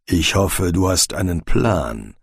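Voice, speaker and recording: A man voicing a stern, dignified army general in an audiobook, speaking in a stiff, measured, terse way. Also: sits low, very animated, husky, dry recording, studio quality, voice pushed down, exaggerated voice. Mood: terse, questioning.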